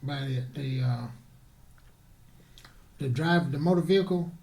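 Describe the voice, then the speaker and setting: A soft-spoken man interviewing a guest in casual conversation, often pausing and restarting. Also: mid-range voice. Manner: soft-spoken, halting, frequent affirmations.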